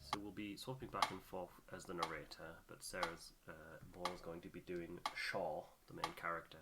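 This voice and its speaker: A man's voice: sits low, flat delivery, moderate pace, heavy background noise. A man hosting a voiced game playthrough, moving between casual chat and reading narration aloud.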